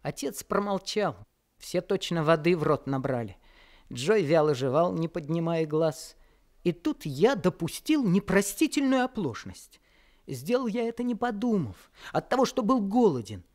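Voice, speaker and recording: A man's voice: sits high, big pitch swings, normal speed, a little mellow. An actor playing a teenage boy who narrates his own story in an old radio play. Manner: earnest storytelling. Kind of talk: 1960s Soviet radio drama acting.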